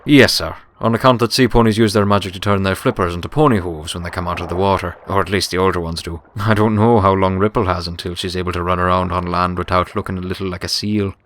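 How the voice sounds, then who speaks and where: A man's voice: sits low, steady tone, fast talker, few pauses, a bit bright. A man voicing an elderly earth pony manservant in a storybook, deferential, folksy and plain-spoken. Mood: polite, wry. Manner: plain-spoken, polite, understated.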